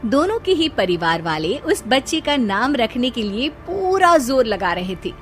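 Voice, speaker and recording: A woman's voice: fairly high pitch, sing-song, measured pace, soft background music. A woman narrating a scripted cartoon story.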